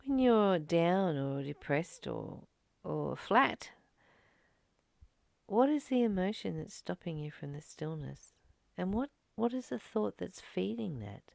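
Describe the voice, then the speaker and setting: A woman reading a spiritual text aloud and reflecting on it in a slow, gentle, contemplative way. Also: lowish voice, expressive, creaky, no room sound. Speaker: spiritual study reader and teacher.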